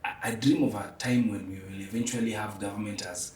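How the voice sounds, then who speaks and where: A man with a Kenyan accent speaking in an animated way in a conversational panel discussion. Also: lowish voice, fast talker, slight background noise. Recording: echoey room, distant from mic.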